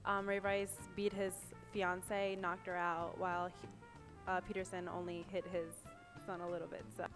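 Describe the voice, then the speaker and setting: A woman in her early twenties, a graduate student, giving measured answers in a street interview. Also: on the low side, little pitch movement. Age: 20s. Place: outdoors on a college campus. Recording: faint music underneath.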